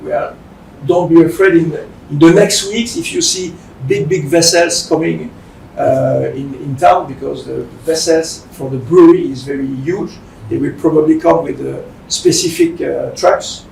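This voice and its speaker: A Belgian man with a French accent speaks haltingly in a semi-formal address. His slightly high voice has a gravelly creak, and it is picked up in a roomy space. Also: a little mellow. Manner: halting, hesitant non-fluent English. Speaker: company managing director. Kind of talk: semi-formal presentation speech. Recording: roomy, distant mic with faint rumbling noise.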